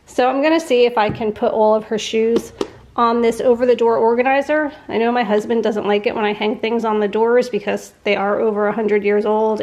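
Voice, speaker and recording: A middle-aged woman vlogging casually at home with a nasal, congested voice and a sore throat, chatty and quick to laugh. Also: on the high side, a little mellow. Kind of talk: casual chat to camera.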